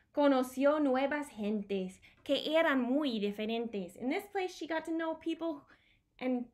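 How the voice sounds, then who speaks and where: A woman in her thirties presenting a bilingual picture-book storytime for young children, expressively explaining and repeating key words. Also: on the high side. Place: small home room with bookshelves, indoors.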